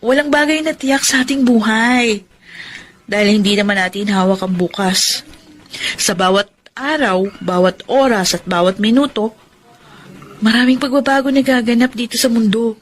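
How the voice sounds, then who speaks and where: An actress with a Filipino accent playing an ambitious, hesitant young factory worker in a radio drama, speaking in an emphatic, conversational way. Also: female voice, on the high side, normal speed, close to an everyday voice. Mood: evasive, firm. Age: young adult. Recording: faint background noise.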